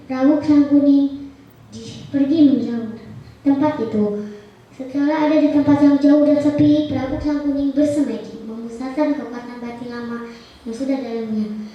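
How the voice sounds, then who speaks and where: Young girl, high voice, theatrical storytelling, dramatic emphasis, distant mic, strong echo. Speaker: storytelling contestant. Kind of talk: theatrical folk-tale storytelling. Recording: echoey room, distant muffled mic.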